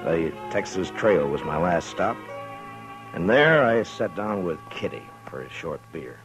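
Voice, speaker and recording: A low-voiced American frontier lawman, steady and wry. He speaks laconically and drily, in old-time radio western style, on a vintage broadcast recording. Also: measured pace, music in the background, poor audio. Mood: matter-of-fact narration. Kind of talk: 1950s radio western acting and first-person narration.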